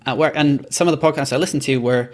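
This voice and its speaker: A man from Belgium, a guest on a podcast, telling his career story in a measured, reflective way. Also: medium pitch, a little mellow. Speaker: podcast company founder, guest. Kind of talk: casual podcast interview.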